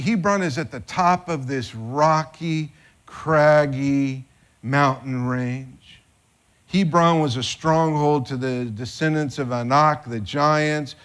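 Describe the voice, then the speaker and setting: A man in his fifties preaching a sermon from a church stage, animated and gesturing, his voice rising when excited. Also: fairly high pitch. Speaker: pastor. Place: church stage with band instruments.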